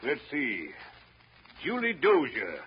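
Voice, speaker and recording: An actor playing a stern, menacing small-town sheriff in a 1930s radio drama, blunt and drawling with an American accent. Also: male voice, medium pitch, huge pitch swings, slow. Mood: stern, accusing. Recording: muffled, noisy 1930s radio broadcast recording.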